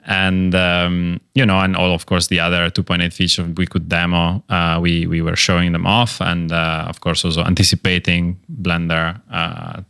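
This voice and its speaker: A man's voice: sits low, vocal fry, bright, forceful tone, smooth, dry recording, studio quality. A man hosting a podcast in casual chat, talking with enthusiasm and rambling through many fillers. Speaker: podcast host.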